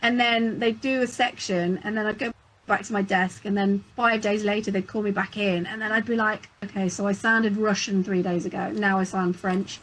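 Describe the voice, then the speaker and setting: A woman voice actor answering panel questions in casual chat, laughing often and telling animated stories. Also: mid-range voice, moderate pace, a little hiss or hum, low-quality recording. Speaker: voice actor guest.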